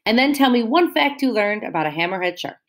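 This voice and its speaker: A woman's voice: a little high, expressive, fast talker. A woman teacher reading a picture book aloud to young children, explaining words and asking them questions in a sing-song way.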